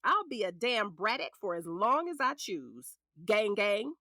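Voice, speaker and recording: An actress with an American accent playing a sharp-tongued, protective middle-aged lawyer and scornful ex-wife in an audio drama, blunt and commanding. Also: female voice, mid-range voice, big pitch swings, unhurried, no room sound, clean studio sound. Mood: proud, sassy.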